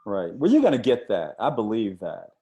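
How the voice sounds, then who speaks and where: A man's voice: on the low side, wildly swooping pitch, moderate pace, dark tone, dull audio. A man hosting a casual interview, effusive and encouraging, often repeating his affirmations. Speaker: interviewer and comics creator.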